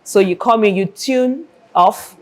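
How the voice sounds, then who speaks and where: A woman's voice: medium pitch, measured pace, darkish tone. A Nigerian-accented woman, an air traffic controller, explaining her work in an interview and repeating phrases for emphasis.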